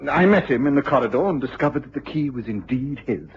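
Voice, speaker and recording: A man playing an agitated, excitable college lecturer with a British accent and a hesitant, pleading manner in a mid-century radio drama. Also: mid-range voice, fast, natural voice. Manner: anxious, pleading, hesitant repetitions. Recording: muffled, noisy old radio recording.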